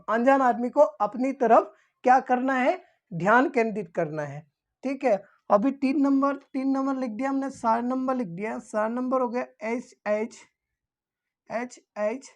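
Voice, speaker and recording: A woman's voice: on the high side, darkish tone. A woman explaining things as a teacher, repeating key terms as if dictating them. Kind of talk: explanatory lesson for security guards.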